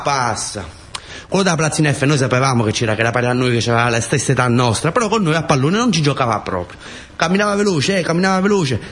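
A man's voice: mid-range voice, husky. A man with a southern Italian accent from the Taranto area performs a colloquial narrative monologue, insistently repeating words and phrases. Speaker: actor-storyteller.

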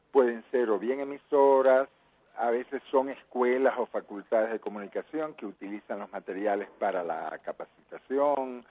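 A man from Cuba, a radio producer, talking as an interview guest in a measured way, pausing often to think. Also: medium pitch. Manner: measured, thinking aloud with many pauses. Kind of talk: phone interview. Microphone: phone. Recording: tinny phone line.

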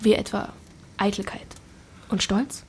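An actress playing a witty, spirited young Regency gentlewoman in a radio drama, articulate, playful and ironic. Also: medium pitch, fairly even intonation, a little slow, a little hiss or hum, lightly acted. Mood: ironic, challenging. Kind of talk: naturalistic German radio drama acting.

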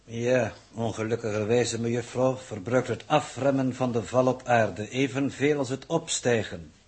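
A man acting a coldly precise, formal mission coordinator in 1960s radio drama, measured and pedantic in manner. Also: mid-range voice, noisy background, lightly acted. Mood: coolly pointed.

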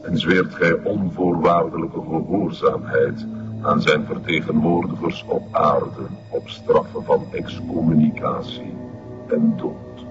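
An actor playing a solemn, commanding satanic cult leader in a television drama, speaking in a pompous, ceremonial manner. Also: male voice, rumbling low, slow. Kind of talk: television crime drama acting. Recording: muffled lo-fi sound, music behind.

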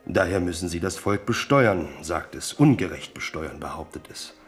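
A man's voice: low-pitched, lively intonation, moderate pace, slightly rough, soft background music. An actor playing a frank, sly soldier courtier who needles his king loyally in a German radio drama. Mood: slyly candid. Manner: frank, sly, feigns indifference.

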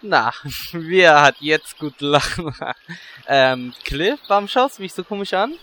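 A man's voice: fairly high pitch, lively intonation, takes it slowly, bright, forceful tone, slight background noise, exaggerated voice. A man voicing a scheming, sleazy male adventurer who talks slyly and gleefully. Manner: sly, gleeful.

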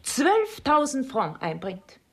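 An actress playing a sly, flattering matchmaker in a German radio play, with an emphatic, wheedling, theatrical delivery. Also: female voice, sits high, slow pace, slightly rough, exaggerated voice. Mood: persuasive. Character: sly, flattering, scheming older female matchmaker angling for money.

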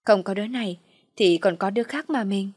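A woman's voice: mid-range voice, brisk, darkish tone, husky, dry recording, close to an everyday voice. Woman plays scheming pregnant wife for audio drama: calculating, scornful or wheedling, dry close sound. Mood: coaxing, sly.

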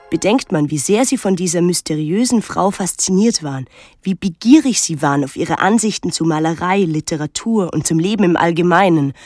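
A young actress playing a pensive, detached teenage daughter, narrating a literary radio drama in a measured, introspective way. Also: female voice, on the low side, no echo, clean studio sound.

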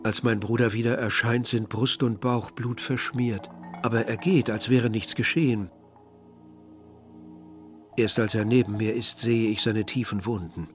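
An actor playing a young villager who tells his story in a measured, literary way, as narration in a radio drama. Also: medium pitch, steady tone, natural voice. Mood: dreamlike, troubled. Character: young Algerian villager narrating a grim search for remains. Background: music.

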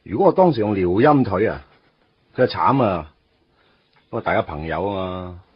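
A man's voice: deep voice, sing-song, normal speed, slightly rough, no room sound, full character voice. A man playing a young man torn over his first love, narrating his thoughts wryly in a radio drama. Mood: defensive. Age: young adult. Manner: wry inner monologue. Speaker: lead character and narrator. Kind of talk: Cantonese radio drama acting. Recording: dull lo-fi radio recording.

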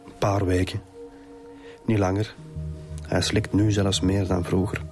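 A man's voice: deep voice, level tone, measured pace. An actor playing a weary, defensive middle-aged Flemish father in a radio drama. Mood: bitter, resigned. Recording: music under the dialogue.

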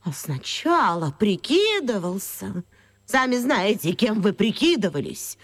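A woman's voice: medium pitch, very animated, unhurried. An actress playing a stern, pompous, indignant elderly woman with a lapdog in a children's radio play.

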